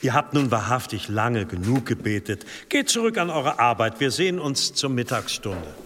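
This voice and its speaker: A man's voice: medium pitch, big pitch swings, moderate pace, fairly bright tone. A man playing an authoritative monastery abbot in a radio play, formal, courteous and paternal in manner. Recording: long reverberant hall, some noise.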